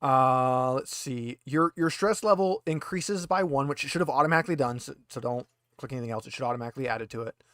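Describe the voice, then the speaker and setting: A man's voice: medium pitch, fast talker, few pauses, fairly bright tone, no echo. Man explaining tabletop game rules, close dry sound. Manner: casual, explanatory. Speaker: game master.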